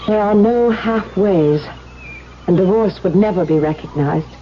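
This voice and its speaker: An actress playing a sorrowful, resigned young wife with quiet bitterness, measured and wistful in a 1970s radio drama. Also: female voice, mid-range voice, close to an everyday voice. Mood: resigned, mournful. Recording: dull, noisy vintage radio recording.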